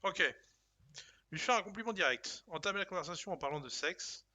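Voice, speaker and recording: A man's voice: high-pitched, expressive, fast, sharp tone. A man streaming a game, reading options aloud and joking in casual commentary.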